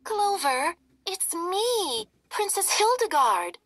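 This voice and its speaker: Lady doing a tiny squeaky mouse princess for a storybook, high and plaintive, swoops around. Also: sing-song, slow, noisy background, exaggerated voice. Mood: distressed. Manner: dramatic, plaintive. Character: small frightened princess turned mouse, easily upset.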